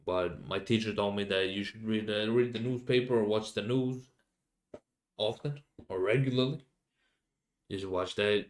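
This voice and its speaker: Halting young Finnish man, lowish voice. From Finland. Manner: halting, many fillers and restarts, searching for English words. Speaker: podcast host. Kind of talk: casual solo rambling.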